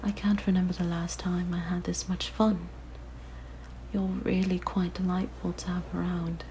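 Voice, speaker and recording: A woman voicing a possessive, curious siren monster in an ASMR roleplay, speaking in a soft, breathy whisper with a gentle, coaxing manner. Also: lowish voice, flat delivery, normal speed, slight background noise, natural voice, rough recording. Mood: enchanted, coaxing. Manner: gentle, wistful, fascinated, coaxing. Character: possessive, curious young female siren monster, softly luring. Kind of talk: ASMR roleplay, intimate monologue.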